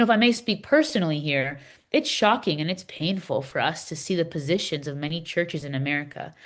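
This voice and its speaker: Woman acting an earnest, low-pitched female Palestinian advocate. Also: natural voice. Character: impassioned Palestinian woman explaining a church document. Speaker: readers theater performer. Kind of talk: scripted readers theater dialogue.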